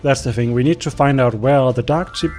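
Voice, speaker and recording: A man's voice: medium pitch, a little fast, a little mellow, faint music behind. A young man whose first language is Danish, casually commenting on a strategy game as he plays, thinking aloud and talking to his units. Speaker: gameplay commentator. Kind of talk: casual let's-play commentary.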